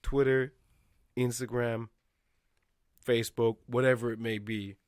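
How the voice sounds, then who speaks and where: A man in his thirties chats loosely as host of a home podcast, close on a studio mic in a dry little room. He curses freely and laughs between phrases, with a voice of middling pitch. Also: measured pace, dry recording. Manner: swears often, laughs a lot, animated hand gestures. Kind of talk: casual podcast chat. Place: small home studio with foam panels. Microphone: headphones and studio mic on boom arm.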